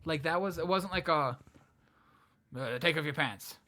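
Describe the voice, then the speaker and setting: A man's voice: on the high side, measured pace, pushed and bright. A man, a comedian guest, telling stories in casual podcast chat, often restarting and repeating his phrases.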